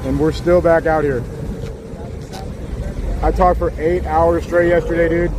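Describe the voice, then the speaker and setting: A man with a hoarse, worn-out voice chatting casually to his live-stream viewers outdoors on a city street. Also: on the high side, little pitch movement, measured pace. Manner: chatty, laid-back, talks straight to viewers. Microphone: small clip-on mic. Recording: loud street noise outdoors.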